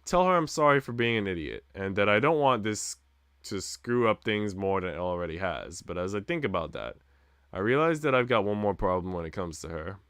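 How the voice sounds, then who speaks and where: A man's voice: on the low side, animated, brisk, fairly bright tone, clear, resonant tone, no echo, studio quality. A man streaming a visual novel, reading the story narration expressively with casual asides.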